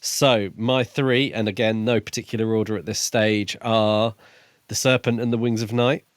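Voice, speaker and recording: A man with a slightly low voice co-hosts a relaxed book discussion. He speaks in a measured, hesitant way while thinking aloud, recorded dry with little room sound. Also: dry recording. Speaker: podcast co-host.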